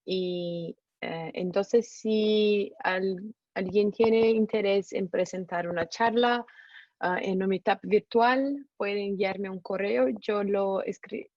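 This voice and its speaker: A woman greets webinar attendees over a video call, speaking slowly and haltingly with fillers and small slips. Her voice is mid-pitched and the audio is dry and dull, like a laptop mic. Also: darkish tone, no room sound. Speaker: community manager for Latin America. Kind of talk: webinar welcome remarks. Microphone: computer mic on a video call.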